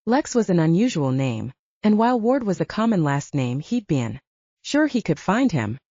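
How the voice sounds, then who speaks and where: A woman's voice: on the low side, big pitch swings, normal speed, dark tone, no room sound, lo-fi sound. A woman reading a scripted story as an audiobook narrator.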